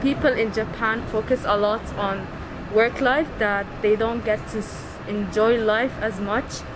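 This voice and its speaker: A young woman answering interview questions in a thoughtful, measured way. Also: on the high side, steady tone. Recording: clear background noise.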